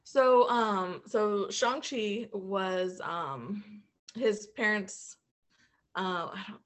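A woman with a medium-pitched voice chats as a podcast co-host. Her delivery is informal and a little halting, as she works out what to say while sharing her opinions. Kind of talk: casual chat, giving movie reviews.

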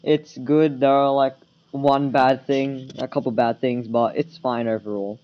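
A teenage student answering interview questions in a casual, hesitant way, full of fillers. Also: male voice, flat delivery, unhurried. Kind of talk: school podcast interview. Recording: dull low-fidelity school recording.

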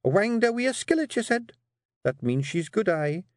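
A man puts on a young Scottish fairy queen for an audiobook. She speaks in a high, lilting pitch, meek and sweet on the surface but firm and quietly dangerous, a wife who will be obeyed. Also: sing-song, unhurried, no echo, studio quality. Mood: firm, resolute. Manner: deceptively meek and sweet, pointed, controlled. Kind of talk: audiobook character voice.

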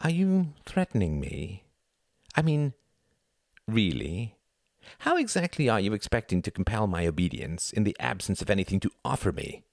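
A man's voice: big pitch swings, moderate pace, gravelly, no echo, studio quality. A man reading as an arrogant, sneering upper-class young man, indignant and haughty. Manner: haughty, indignant, rising shrill.

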